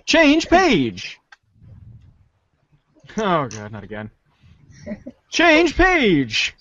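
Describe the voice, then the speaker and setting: A woman's voice: medium pitch, huge pitch swings, drawn-out words, a bit bright. A woman cast member in a casual amateur read-along, calling out short cues. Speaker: show cast member calling page turns. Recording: muffled lo-fi audio.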